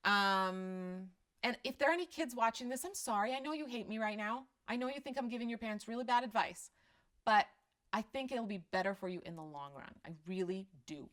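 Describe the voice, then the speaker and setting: A woman's voice: fairly high pitch, lively intonation, fairly quick, a bit bright. A middle-aged woman from Croatia with a slight Croatian accent, chatting casually about parenting and answering viewer questions on a live stream, candid and self-deprecating.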